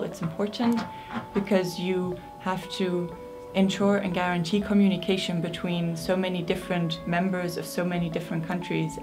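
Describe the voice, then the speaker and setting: A young woman who studies interpreting, answering interview questions in a clear, composed way. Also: on the low side. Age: young adult. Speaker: interpreting student. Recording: music playing underneath.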